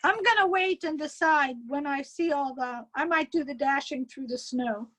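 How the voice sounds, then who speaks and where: High-voiced woman in a craft class. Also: fairly bright tone, rough recording. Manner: eager, quick to agree. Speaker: class participant. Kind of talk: casual chat.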